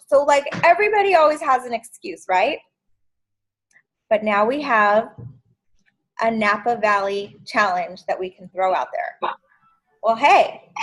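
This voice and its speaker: A woman's voice: on the high side, low-quality recording. A woman in her fifties hosting a sales training video call, chatty and encouraging. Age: 50s. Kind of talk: casual video call meeting.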